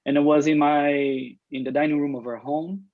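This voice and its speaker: A man's voice: on the high side, steady tone, brisk, no room sound, narrow, tinny audio. A man from Brazil, an artist speaking earnestly on an online panel, often restarting his sentences. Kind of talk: panel discussion. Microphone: video call.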